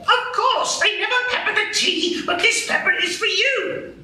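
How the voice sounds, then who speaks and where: Woman doing silly muddled pepper lady, high and bright. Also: normal speed, heavily acted. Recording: roomy live stage, distant mics.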